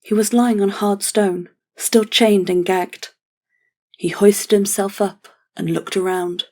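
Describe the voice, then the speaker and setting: A woman reading scripted fantasy narration for an audio drama in a measured, solemn way. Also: mid-range voice, fairly even intonation, darkish tone.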